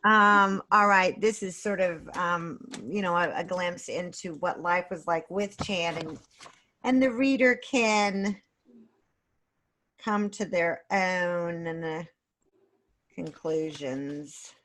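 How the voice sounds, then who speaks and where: A middle-aged woman with a slightly low-pitched voice talks casually as a guest in an interview. Her delivery is halting and hesitant, with phrases that break off and start again. She is speaking over a video call. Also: sharp tone. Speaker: guest author and creative writing teacher.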